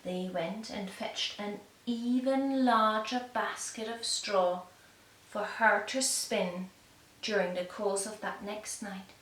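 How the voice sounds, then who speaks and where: A woman storyteller narrating a fairy tale for young children with measured, deliberate phrasing. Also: medium pitch, a bit bright. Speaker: storyteller and puppeteer. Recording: echoey room, distant mic, faint noise.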